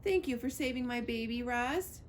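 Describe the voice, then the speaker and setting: Woman voicing a high, frantic mother hare. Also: expressive, moderate pace, slight background noise, lightly acted. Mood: relieved. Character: frightened mother hare pleading for her baby.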